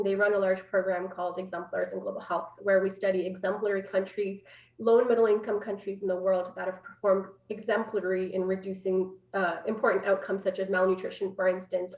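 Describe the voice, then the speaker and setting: A woman's voice: mid-range voice, little pitch movement, brisk, darkish tone. Canadian woman in a roomy, distant video call. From Canada, born in Afghanistan. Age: 30s. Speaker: interview guest, public health scientist. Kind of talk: conversational explanation in an interview. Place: room at home.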